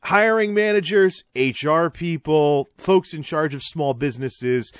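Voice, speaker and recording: A man's voice: fairly high pitch, unhurried, clean tone, dry recording, studio quality. A man giving an informal, opinionated radio-style monologue in an exasperated, emphatic, sardonic manner. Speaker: commentator, host.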